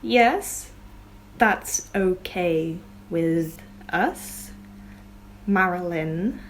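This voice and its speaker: A woman's voice: lowish voice, expressive, very slow, rough recording. A woman playing a genteel, arch lady of the manor who is suspicious of her house guest.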